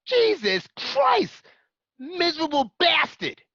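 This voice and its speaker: A woman playing a violent, furious gangster in an amateur radio drama, cursing explosively in drawn-out bursts. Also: extremely high pitch, huge pitch swings, fairly bright tone, no echo, highs cut off, full character voice. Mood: enraged.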